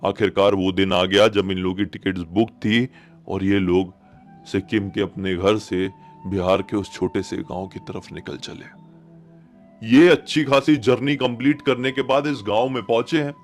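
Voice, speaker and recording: A man's voice: on the low side, moderate pace, soft background music. A man telling a horror story informally, in a conversational and dramatic retelling that speaks straight to the listener. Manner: animated retelling, addresses listeners directly, repeats phrases for suspense.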